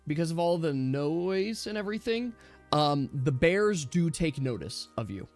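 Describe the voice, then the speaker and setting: Game master with lively, swinging pitch. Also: male voice, unhurried. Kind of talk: narrating an online tabletop role-playing game. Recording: music behind the voice. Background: music.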